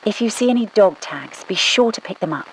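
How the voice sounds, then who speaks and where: A woman voicing a brisk British spymaster who gives mission orders over the radio in a dry, commanding manner, with a British accent. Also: mid-range voice, lively intonation, slight background noise, lightly acted, low-quality recording. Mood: briefing. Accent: British English. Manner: clipped, dry, commanding, scolding. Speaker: mission controller. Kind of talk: game voice lines.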